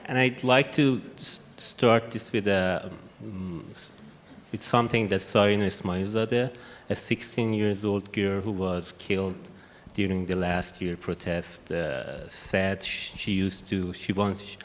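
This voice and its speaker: A man with a strong Persian accent talks on a conference panel, low and steady in pitch. He hesitates often and restarts his sentences. The sound carries the long echo of a hall, with a faint hiss behind it. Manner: halting, many restarts and fillers. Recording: echoey hall with faint noise.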